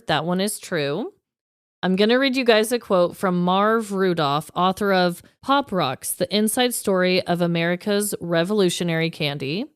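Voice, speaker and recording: A woman co-hosting a podcast, telling stories from her notes in an informal, animated, emphatic way. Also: lowish voice, clear, resonant tone, no room sound, clean studio sound. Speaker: podcast co-host. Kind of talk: chatty storytelling, reading quotes from notes.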